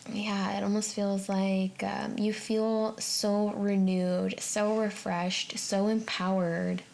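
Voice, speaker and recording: Slow, gravelly woman reading tarot. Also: medium pitch, little pitch movement, a little mellow, a little hiss or hum. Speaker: tarot reader and channel host. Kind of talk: intuitive tarot forecast, unscripted and addressed to the viewer.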